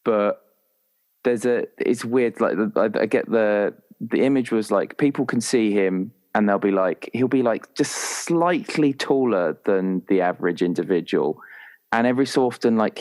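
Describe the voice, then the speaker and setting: A man with a fairly low voice talks off the cuff as a roleplaying game player. He feels his way through ideas, hesitating and doubling back in casual, improvised speech. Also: a little mellow. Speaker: player creating a roleplaying character. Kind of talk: casual, improvised character discussion.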